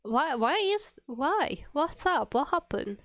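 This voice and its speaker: A woman's voice: high-pitched, very animated, slow, fairly bright tone, highs cut off. A woman streamer chatting casually with her viewers while playing a game, sounding a little under the weather. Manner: chatty, addresses viewers by name. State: sick with a cold. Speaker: VTuber streamer.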